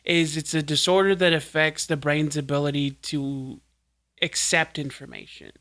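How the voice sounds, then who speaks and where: A man's voice: a little high, steady tone, moderate pace, fairly bright tone. A man hosting a podcast, chatting casually and earnestly explaining things step by step. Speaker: podcast host.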